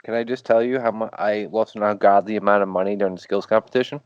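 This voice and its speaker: A man's voice: lowish voice, fairly even intonation, quick pace, clean tone, dry recording. A man co-hosting a sports podcast, joking and teasing in casual chat. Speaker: podcast co-host. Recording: dry, dull lo-fi podcast audio.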